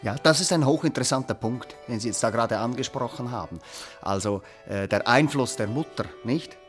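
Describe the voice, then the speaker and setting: A male actor plays a formal, analytical official in a radio play. His mid-pitched voice is polite and measured, with a pedantic edge. Music plays behind the speech. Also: close to an everyday voice. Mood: pedantic, reflective. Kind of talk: radio drama acting.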